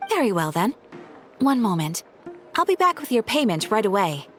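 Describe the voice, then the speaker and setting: An actress playing a polite, attentive guild receptionist in a video game, with a courteous, professional manner. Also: female voice, mid-range voice, sing-song, normal speed, lightly acted. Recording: studio voice over game music. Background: background music.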